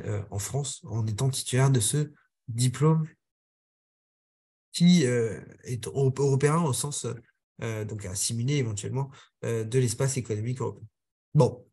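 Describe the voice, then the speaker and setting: A man giving a webinar presentation over a video call, speaking haltingly with many filler sounds and restarts. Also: mid-range voice, a little mellow, husky.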